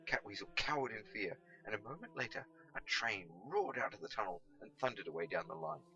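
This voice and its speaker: A man narrates a storybook with lively, expressive swings of pitch. His voice is forceful and creaky, on a slightly dull lo-fi recording. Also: fairly quick. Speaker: audiobook reader.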